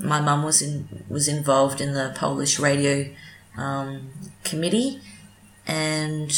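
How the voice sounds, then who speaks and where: Low slow aussie lady radio presenter, lots of ums, echoey room. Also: a bit bright, slight background noise. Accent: Australian. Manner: hesitant, many ums and restarts. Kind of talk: casual interview chat.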